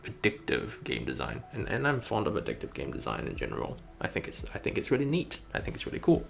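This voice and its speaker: A man's voice: low-pitched, huge pitch swings, fast talker, vocal fry, fairly bright tone. A man streaming in a casual, rambling way, thinking aloud with hesitant phrasing. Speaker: streamer, game developer. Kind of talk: casual livestream chat. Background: music.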